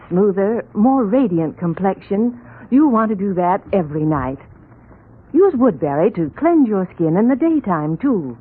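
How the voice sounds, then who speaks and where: Casting: female vintage radio pitchwoman, lively intonation, scripted sell, narrow old broadcast sound. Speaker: commercial announcer. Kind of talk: scripted 1940s radio advertisement read. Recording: muffled narrowband 1940s radio recording.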